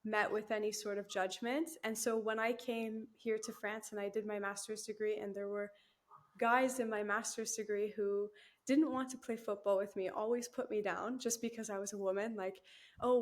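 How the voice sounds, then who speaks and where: A woman's voice: mid-range voice, flat delivery, moderate pace. A young woman, chatting as a guest in an interview, laughing easily and using lots of fillers. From Canada. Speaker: guest, new team member. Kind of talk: casual interview chat.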